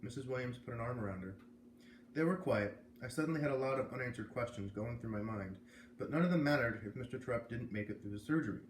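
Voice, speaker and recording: American man, read-aloud storyteller, medium pitch, echoey room, slight background noise. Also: quick pace. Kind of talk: reading a children's novel aloud, with casual asides. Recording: roomy echo, faint background noise.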